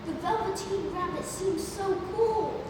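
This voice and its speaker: A woman's voice: very high-pitched, measured pace. A woman playing a curious, eager young grandson who asks wide-eyed questions in a stage play read-through. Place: indoor theatre, recorded from afar. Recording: echoing hall, distant mic, noisy.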